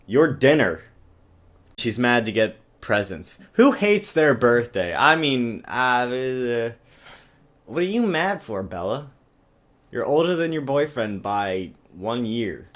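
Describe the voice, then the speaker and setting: A man's voice: mid-range voice, big pitch swings, takes it slowly, fairly bright tone. A man reading a novel aloud in a theatrical, exaggerated way and adding sarcastic comic asides, recorded on a webcam. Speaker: amateur reader and comic commentator. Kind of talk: mocking dramatic book reading with sarcastic asides. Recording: choppy amateur webcam recording.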